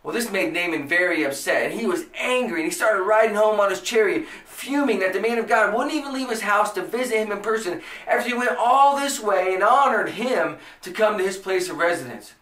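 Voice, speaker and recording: A man's voice: sits high, animated, brisk, hardly any pauses, a bit bright, echoey room, far from the mic, rough recording. A man in his thirties with an American accent, preaching a podcast sermon with earnest emphasis. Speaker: pastor. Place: small indoor room before a church banner.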